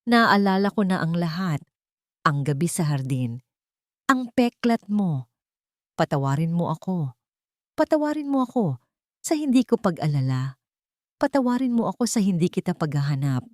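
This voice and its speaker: A woman narrator plays an earnest man, a powerful father full of regret, pleading with deep emotion in a romance story. The voice lifts above the usual narration and sounds dry and close. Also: very animated, moderate pace, pauses often, dry recording. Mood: pleading, remorseful.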